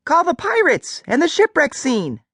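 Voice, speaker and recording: A woman voicing a tiny, mischievous, excitable male fairy in a full-cast audiobook, cheeky and eager. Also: high-pitched, moderate pace, no room sound, clean studio sound, full character voice.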